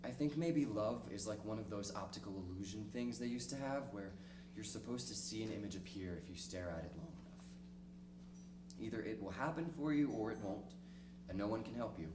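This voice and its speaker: A man acting a lonely, cynical middle-aged diarist in a dramatic stage reading, speaking reflectively and ruefully with halting pauses. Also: fairly low pitch, expressive, natural voice. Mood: wistful, longing. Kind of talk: dramatic monologue in a one-act play reading. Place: small theater stage, recorded from the audience distance. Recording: distant, echoey stage recording with noisy hum.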